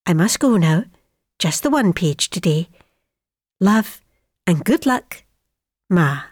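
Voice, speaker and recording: A woman's voice: lowish voice, sing-song, slow pace, a little mellow, dry recording, studio quality, full character voice. A middle-aged woman playing an affectionate wartime mother, chattily reading out a letter to her son. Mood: affectionate, chatty. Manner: homely, chatty.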